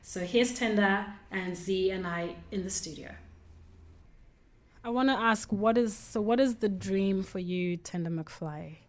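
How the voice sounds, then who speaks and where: A woman hosting a podcast, talking conversationally in an earnest, reflective and expressive way, both narrating and interviewing. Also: medium pitch, unhurried. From Australia, lived in Perth before Melbourne. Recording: roomy, echoing sound.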